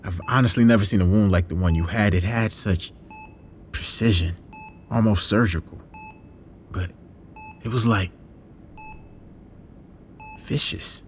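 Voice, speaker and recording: A man playing a kind, concerned hospital worker in an audio drama, speaking gently and hesitantly with a creaky voice. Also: on the low side, measured pace, pauses often, a little hiss or hum, natural voice. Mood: gentle, earnest. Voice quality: gravelly, creaky. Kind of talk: naturalistic audio drama acting.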